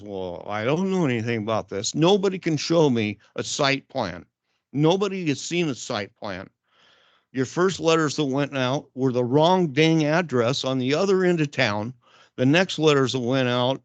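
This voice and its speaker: A man's voice: medium pitch, no room sound. Blunt, aggrieved older man. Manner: aggrieved, blunt, rambling complaint. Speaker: resident speaking at public comment. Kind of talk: public comment at a council meeting. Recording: dull lo-fi council chamber mic.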